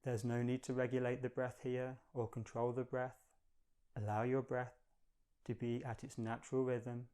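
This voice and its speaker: A man guides a meditation in a smooth, resonant voice of medium pitch. His intonation stays very even, with little rise or fall. He gives gentle instructions in a calm, measured, soothing way.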